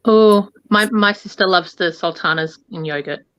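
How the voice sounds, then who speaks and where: A woman's voice: fairly low pitch, normal speed, a bit bright, smooth, no room sound. Rambling woman in her thirties, lo-fi. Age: 30s. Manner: rambling, forgetful, chatty. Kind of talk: casual chat. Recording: dry, dull lo-fi sound.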